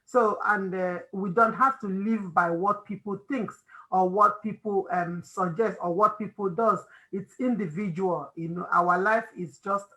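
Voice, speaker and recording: Bright-voiced Nigerian woman giving advice. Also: medium pitch, measured pace, few pauses, poor audio. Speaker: host of a family discussion. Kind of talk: informal faith-based advice talk.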